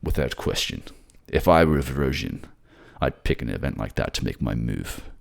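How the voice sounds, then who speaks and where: Man as a very deep, gravelly warlord king. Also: lively intonation, moderate pace, heavily acted. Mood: stern. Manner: brooding, curt, commanding, disdainful. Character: gruff, menacing, scornful warlord king, fiercely protective lover. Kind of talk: fantasy audio drama acting.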